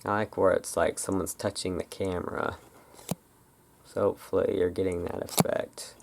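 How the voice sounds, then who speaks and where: ASMR host, deep pitch with heavy fry; casual, halting roleplay chatter. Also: level tone, a little hiss or hum. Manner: rambling, halting, informal.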